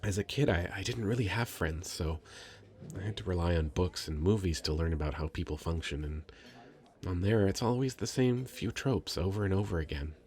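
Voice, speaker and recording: Man acting shy stammering nerd, low gravelly voice. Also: moderate pace, a little mellow. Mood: shy, earnest. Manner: stammering, hesitant, rambling, self-conscious. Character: shy, nervous, sweet nerdy young male stranger. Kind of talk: scripted ASMR roleplay, intimate conversational acting.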